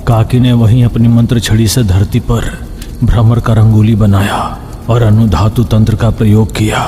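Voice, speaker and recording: A man's voice: fairly low pitch, little pitch movement, normal speed. A man narrating a scripted cartoon story. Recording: long hall reverb, background noise.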